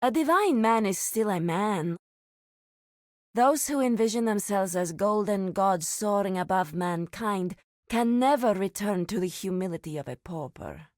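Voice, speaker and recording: A woman's voice: on the low side, no room sound. A solemn grove druid lady for a game, formal n grave, takes her time, dry studio sound. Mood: grave, judging. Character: solemn druidic woman, keeper of a sacred grove. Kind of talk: scripted game dialogue.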